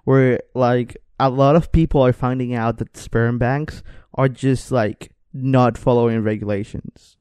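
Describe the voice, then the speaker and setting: A young man with a smooth, clear voice at a medium pitch, chatting as a podcast co-host. He jokes loosely in slang and swears freely. The sound is close and dry. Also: steady tone, measured pace, darkish tone, no room sound. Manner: slangy, joking, profane. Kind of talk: casual chat.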